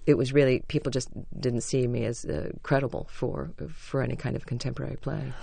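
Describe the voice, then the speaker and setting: A stage actress with a low voice, telling chatty, self-deprecating stories as a radio interview guest. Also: female voice, little pitch movement, brisk, vocal fry, dark tone, slightly rough, no room sound.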